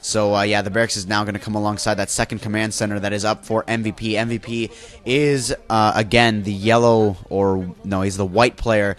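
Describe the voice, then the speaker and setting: A man's voice: lowish voice, little pitch movement, fairly quick. Nasal, congested man casting a game. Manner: loose, with many fillers and self-corrections. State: congested from a flu, nasal, coughing. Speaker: esports caster. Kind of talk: solo play-by-play game commentary.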